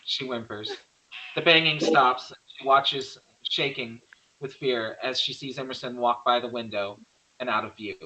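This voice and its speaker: Guy narrating screenplay directions at a table read, crisp bright tone, average pitch. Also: fairly even intonation, measured pace, rough recording. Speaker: narrator reading stage directions.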